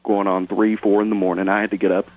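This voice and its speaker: Very deep-voiced male caller on a muffled line. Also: flat delivery. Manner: brisk, direct storytelling. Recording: dull phone line.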